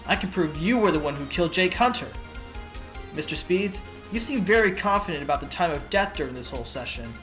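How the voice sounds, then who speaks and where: A man's voice: high voice, animated, fairly bright tone, faint music behind. A man voicing an earnest, determined fox defense attorney in a courtroom audio drama, arguing with emphasis. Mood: accusing. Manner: emphatic, argumentative, dramatic pauses.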